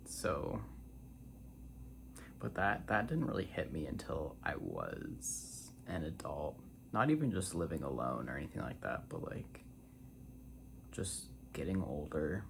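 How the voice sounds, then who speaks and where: A man in his twenties rambling casually in a soft-spoken ASMR style, drifting between a breathy murmur and a half-whisper. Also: deep voice, background noise. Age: 20s. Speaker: ASMR creator.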